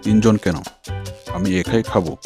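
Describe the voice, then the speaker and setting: An actor playing a bossy, boastful tiger uncle in an animal cartoon, with a commanding and threatening manner. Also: male voice, lowish voice, fairly even intonation, measured pace, over music, lightly acted. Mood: bullying, demanding. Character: bossy male tiger uncle who hunts and bullies. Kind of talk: Bangla animal cartoon dialogue.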